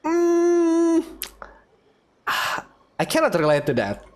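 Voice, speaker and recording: Animated game streamer with a lively pitch. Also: drawn-out words. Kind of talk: reading game text aloud with casual commentary. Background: faint music.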